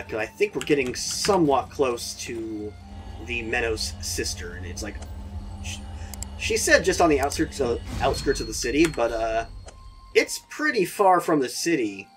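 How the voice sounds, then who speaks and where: A man hosting a game playthrough, chatting casually and rambling, his voice hoarse from a sore throat. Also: mid-range voice, a bit bright. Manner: rambling, chatty, laughs often. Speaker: gaming video host. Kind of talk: casual let's-play commentary.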